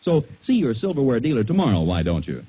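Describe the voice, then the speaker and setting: A man's voice: medium pitch, wildly swooping pitch, fast talker, slightly rough. A man with an American accent announcing in polished, persuasive 1940s radio style, as scripted narration and advertising. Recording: muffled 1940s radio recording.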